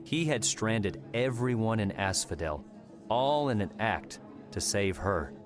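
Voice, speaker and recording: A man narrating a fiction audiobook in a measured storytelling style. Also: fairly low pitch, lively intonation. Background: soft background music.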